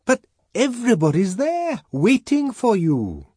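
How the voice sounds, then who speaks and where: A man voicing a desperate small man who shouts, wheedles and wails through a door in an audiobook story. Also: sits high, wildly swooping pitch, measured pace, husky, no echo, clean studio sound, pitched above the performer's own voice, full character voice. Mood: loud pleading.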